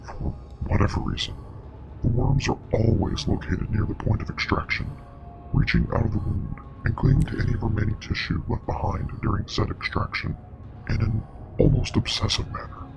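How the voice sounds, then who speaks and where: Man acting as a gravelly, grave parasite expert. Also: fairly low pitch, fairly even intonation, muffled recording, noisy background. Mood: clinical, ominous. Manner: measured, explanatory, clinical. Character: grave, knowing male parasite expert and investigator. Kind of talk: scripted web horror drama. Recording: music playing behind.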